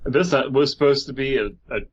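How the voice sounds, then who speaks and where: A man's voice: medium pitch, fairly even intonation, a little fast, breathy edge. A man co-hosting a podcast with casual, mock-earnest comedic banter, recorded on a slightly dull, lo-fi microphone. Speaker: podcast co-host.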